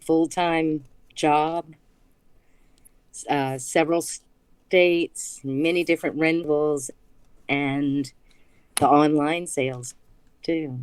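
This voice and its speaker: A woman guest on a casual interview podcast, speaking haltingly with restarts and easy laughter. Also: low-pitched, fairly even intonation, a little mellow, smooth, no echo. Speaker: guest, small business owner.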